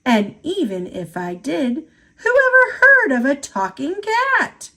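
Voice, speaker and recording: A woman acts out a good-natured, hard-working countryman from a picture book. He is easygoing and a little credulous, and his folksy talk rises and falls in a very animated way. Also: sits high, a little slow, full character voice. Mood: startled, puzzled.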